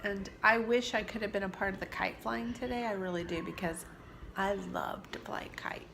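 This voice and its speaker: A woman in her forties, stuffed up from allergies, talking softly and confidingly in a personal vlog, sometimes dropping to near-whispers. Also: medium pitch, fairly even intonation, normal speed, gravelly, a little hiss or hum. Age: 40s. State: congested from allergies. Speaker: vlogger. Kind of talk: reflective personal vlog talk. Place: sitting indoors at home.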